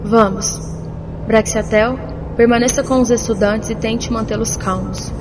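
A woman's voice: on the high side, fairly even intonation, measured pace, noisy background, natural voice. A woman playing a composed, decisive leader on a mission in an audio drama. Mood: commanding.

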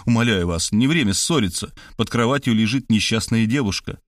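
A man's voice: on the low side, a little fast, no room sound, studio quality, close to an everyday voice. A man voicing a flustered, easily indignant man from a novel, anxious and self-justifying. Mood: pleading.

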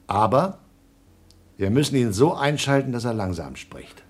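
Wary crewman, radio drama, mid-range voice, panicky streak, argumentative, very lively pitch. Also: moderate pace. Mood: suspicious. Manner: argumentative, suspicious, theatrical. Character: wary, stubborn, panicky male space station crewman. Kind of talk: German radio play acting.

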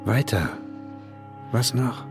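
An actor playing an enigmatic, insistent man in an audio drama, speaking deliberately with formal politeness and calm authority. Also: medium pitch, music in the background. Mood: calmly commanding. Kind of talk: German audio drama acting.

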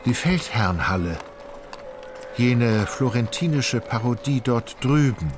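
An actor narrating a literary radio play as an ironic, all-knowing storyteller, measured and ornate in his delivery. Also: mid-range voice, animated. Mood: wry, measured. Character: wry, omniscient, ironic male storyteller. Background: music.

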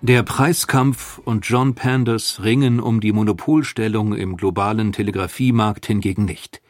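A man narrating a historical audio documentary from a script, in a measured, articulate way. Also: on the low side, steady tone, no room sound, faint music behind.

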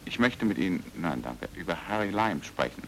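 A man's voice: sits low, animated, brisk, fairly bright tone, slight background noise, rough recording. An actor playing a dogged, plain-spoken man investigating his friend's death in an old German radio drama, terse and probing. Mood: polite, tentative. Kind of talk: 1950s-60s German radio drama acting. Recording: old radio play with music.

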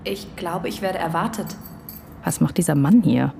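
A gentle, earnest young woman secret agent in a radio play. She speaks hesitantly and politely. Engine and street noise is clearly heard around her. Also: lowish voice, moderate pace. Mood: nervous. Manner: hesitant, soft-hearted, polite. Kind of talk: radio drama acting.

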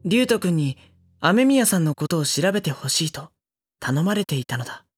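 A woman's voice: lowish voice, sing-song, moderate pace, slightly rough, faint music behind. A woman voicing a mild, put-upon teenage schoolboy who narrates and answers politely, with dry, slightly exasperated remarks. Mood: wry. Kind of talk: studio audio drama.

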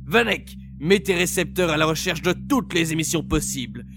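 A man as a creaky-voiced mercenary commander. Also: a little high, animated, over music, pitched above the performer's own voice. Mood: commanding. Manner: brisk, commanding. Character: gruff male mercenary commander, colossal, sardonic, commanding. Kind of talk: dramatised science-fiction audiobook.

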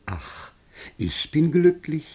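An actor playing an honest, grateful, once-poor citizen in a radio play, with a husky voice and earnest delivery. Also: male voice, a little high, takes it slowly, exaggerated voice. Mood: earnest gratitude. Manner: earnest, expressive. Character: honest, grateful, formerly impoverished good citizen. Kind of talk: theatrical radio play acting.

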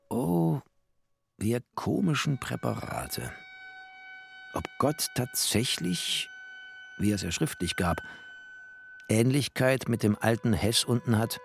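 An actor playing a wry, bookish narrator, a poor postwar settler, in literary first-person narration. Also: male voice, mid-range voice, vocal fry, heavily acted. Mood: ironic, musing. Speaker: narrator of a literary radio play.

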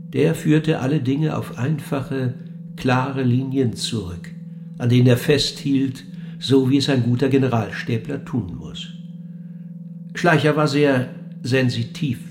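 Radio play: male actor as cool interrogating interviewer, dry, measured, mid-range voice, music bed. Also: mid-range voice, natural voice. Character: probing, cool male interviewer questioning the dead. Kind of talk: German radio play dialogue.

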